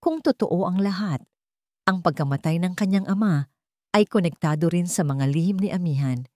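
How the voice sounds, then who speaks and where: A woman with a Filipino accent narrates a dramatic scripted story with emotive, deliberate phrasing. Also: on the low side, warm tone, dry recording, clean studio sound.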